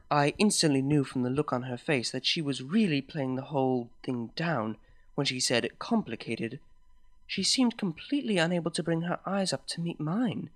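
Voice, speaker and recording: A woman reading a first-person story aloud, expressive and dramatic. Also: low voice, no echo. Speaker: fanfic reader.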